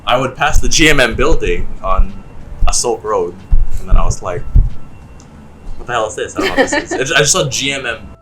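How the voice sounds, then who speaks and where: A man's voice: mid-range voice, a little fast, faint music behind. A young man from the Philippines co-hosting a podcast, talking in a chatty casual way with lots of restarts. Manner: chatty, animated, restarts often. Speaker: podcast co-host. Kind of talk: casual chat.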